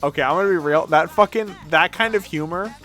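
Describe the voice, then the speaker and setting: A man's voice: fairly high pitch, brisk, sharp tone, soft background music. A man livestreaming in a casual, blunt, sweary way as he reacts to videos. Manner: blunt, sweary, mock-exasperated. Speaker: streamer.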